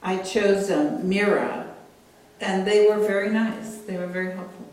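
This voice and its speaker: An American woman in her late sixties speaking as an author, measured, candid and thoughtful. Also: a little mellow. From United States. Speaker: author and artist being interviewed. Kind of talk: reflective interview talk and reading aloud from own book. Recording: roomy echo, distant mic, rumbly noise.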